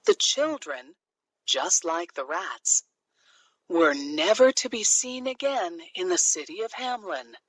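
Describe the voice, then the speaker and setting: A woman narrating a children's fairy tale from a script, in an expressive, deliberate storytelling manner. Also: fairly low pitch, no room sound, rough recording.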